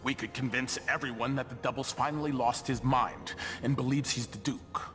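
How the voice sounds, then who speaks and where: An actor playing a shrewd male body double of a duke in a video game, speaking in a measured, wry, theatrical way. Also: medium pitch, fairly even intonation, fairly bright tone, big-room sound, faint music behind, close to an everyday voice. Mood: calculating. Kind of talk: video game cutscene acting.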